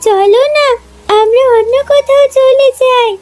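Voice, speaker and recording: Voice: very high voice, unhurried, darkish tone. An actor voicing a pleading little jackal girl in a children's cartoon, with a thin, childlike voice. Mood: plaintive. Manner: plaintive, pleading. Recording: music under the voice.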